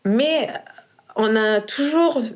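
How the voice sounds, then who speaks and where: A woman in her thirties from Iran with a Persian accent, a podcast guest speaking French as a second language, often pausing and searching for words. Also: a little high. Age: 30s. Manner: hesitant, searching for words, many fillers. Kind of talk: casual interview.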